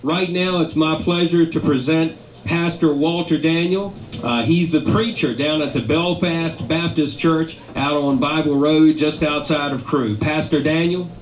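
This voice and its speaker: A man's voice: fairly high pitch, a little slow. A man emceeing a live radio event with formal introductions and thanks. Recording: echoey room, faint background noise.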